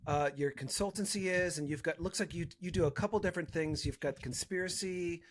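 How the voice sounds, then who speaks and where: A man's voice: on the high side, fairly quick. A man hosting a podcast interview, chatting casually with a playful, joking manner. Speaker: podcast host.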